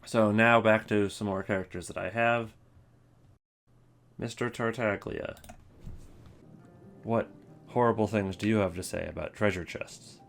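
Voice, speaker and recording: Low-voiced man, casual commentary. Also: steady tone, a little slow, creaky, pauses often, faint music behind. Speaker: host of a game voice line ranking video.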